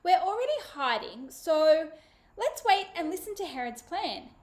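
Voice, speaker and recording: A woman puts on a bright, high-pitched young boy in a storybook, whispering eagerly to his little sister. He is protective and brave and keeps his voice low and reassuring. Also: big pitch swings, measured pace, heavily acted.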